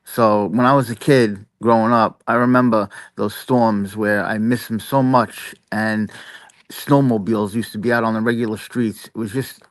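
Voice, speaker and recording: A man's voice: lowish voice, steady tone, normal speed, no echo. New York-accented man, dry close sound. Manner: chatty, repeats himself. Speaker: guest. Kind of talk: casual chat.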